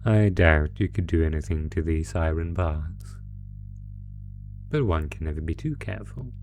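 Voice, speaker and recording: A man's voice: very deep voice, normal speed, a little mellow, soft background music. A man voicing an ancient, possessive monster captor in a horror roleplay, gloating with menacing, mock-tender teasing. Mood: sinister delight. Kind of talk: horror roleplay audio acting.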